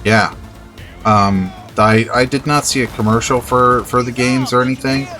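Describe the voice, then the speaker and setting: A man's voice: mid-range voice, fairly even intonation, moderate pace, bright, forceful tone, soft background music. A man in casual livestream chat, laid-back and matter-of-fact, free with swear words.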